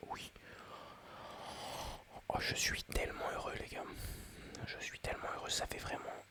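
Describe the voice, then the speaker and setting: A man whispering ASMR-style commentary while playing a mobile game, chatty and excitable, addressing his viewers. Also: measured pace.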